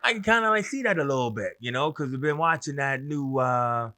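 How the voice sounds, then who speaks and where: A man co-hosting a casual movie podcast, animated and laughing often as he jokes about casting. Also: mid-range voice, brisk. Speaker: podcast co-host.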